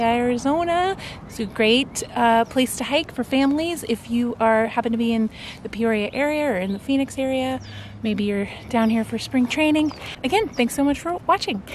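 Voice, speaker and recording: A woman in her thirties talking cheerfully to a handheld camera on a desert hiking trail, chatting directly to her viewers. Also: on the high side. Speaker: travel vlogger. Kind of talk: casual vlog talking to camera on a hike. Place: outdoors on a desert mountain trail. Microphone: handheld camera at arm's length. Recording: outdoor recording with clear background noise.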